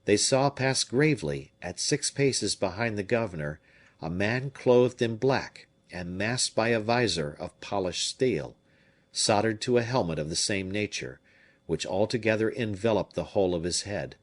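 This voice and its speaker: Man reading an audiobook, lowish voice, lots of rise and fall, expressive storytelling. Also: moderate pace. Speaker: audiobook narrator. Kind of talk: scripted narration.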